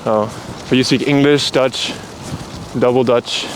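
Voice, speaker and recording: A man hosting a casual interview, playful and teasing with rapid-fire questions while riding a bike outdoors. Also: mid-range voice, steady tone. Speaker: host. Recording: loud street and traffic noise.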